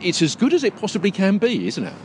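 A man's voice: high voice, wildly swooping pitch, fast talker, darkish tone, a little hiss or hum. Chatty man hosting on location. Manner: chatty, informal. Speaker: podcast host. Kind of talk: on-location interviewing and descriptive commentary. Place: inside a big top tent in a park.

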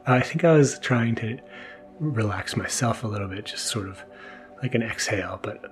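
Male podcast host, lowish voice, soft-spoken and hesitant, casual bedtime monologue with faint music behind. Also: lively intonation, moderate pace. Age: adult, new father. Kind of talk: casual, intimate monologue to listeners. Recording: mellow music underneath. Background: soft mellow music.

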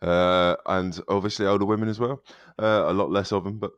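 A man co-presents a football podcast in a very low, smooth, resonant voice. His manner is dry and wry. The sound is close and dry. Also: fairly even intonation, fairly quick, no room sound, lo-fi sound. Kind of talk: casual football podcast chat.

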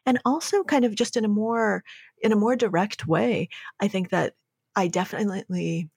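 Woman, American accent, husky fry, dry close sound, articulate, reflective, conversational interview guest. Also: medium pitch, moderate pace, a little mellow, dry recording. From born in Moscow, raised in the United States.

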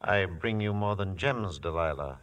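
An indulgent ancient king in a vintage radio drama. The voice sits fairly low, with suave, courtly and grandiose phrasing. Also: lively intonation, unhurried, a bit bright. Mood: amorous, suave. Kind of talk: 1950s radio drama acting.

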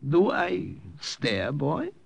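A wary, gentle older German professor in an old radio drama. His husky voice swings widely in pitch, with a German accent and a careful, weary, evasive manner. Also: wildly swooping pitch, drawn-out words, exaggerated voice. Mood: guarded. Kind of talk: 1950s radio drama acting.

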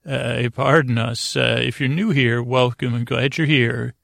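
A man hosting a sleep podcast in a low-key, meandering monologue, halting often and drifting off into digressions. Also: fairly even intonation, vocal fry, a bit bright, dry recording, studio quality. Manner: meandering, halting, frequently digressing and losing his thread; low-key, soothing, deliberately unengaging. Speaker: podcast host.

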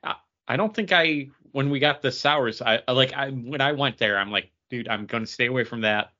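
A man's voice: medium pitch, brisk, sharp tone. A man co-hosting a podcast, chatting casually in short, choppy phrases. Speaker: podcast co-host. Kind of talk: casual chat. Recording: dull lo-fi audio.

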